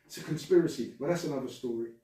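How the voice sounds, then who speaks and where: A man's voice: medium pitch, rapid-fire, dark tone, far from the mic. A man hosting a casual podcast chat, rambling, laughing and swearing casually. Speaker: podcast host. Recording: very echoey room, off-mic.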